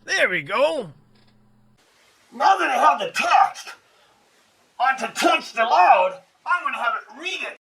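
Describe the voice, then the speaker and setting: A man with an extremely high, bright voice presents an upbeat how-to lesson. His delivery swings widely in pitch and leans goofy, with exaggerated exclamations and asides. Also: very animated, takes it slowly, gravelly, long pauses, far from the mic. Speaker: instructor.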